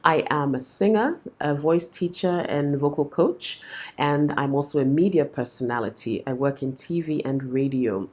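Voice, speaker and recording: A woman's voice: sits low, dark tone. A woman, a singer and vocal coach with a cold, giving practical tips to camera in a friendly, explanatory way. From Ghana. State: has a cold. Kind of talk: instructional talk to camera.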